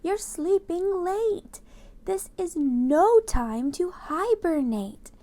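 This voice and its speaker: Exasperated town mayor, woman reader acting, high-pitched, loud drawn-out pleading, children's storybook. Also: expressive, heavily acted. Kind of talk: children's storybook read-aloud.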